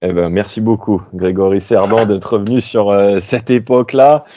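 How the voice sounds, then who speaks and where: A man's voice: fairly low pitch, fairly even intonation, normal speed, warm tone, a little hiss or hum. A man hosting a podcast interview, asking questions conversationally. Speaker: host.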